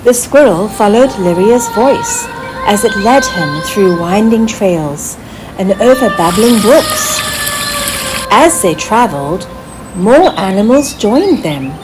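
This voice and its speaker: A woman reading a children's story aloud in an expressive, deliberate storytelling manner. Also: medium pitch, music in the background, poor audio. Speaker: author reading own story. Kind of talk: scripted children's storybook narration.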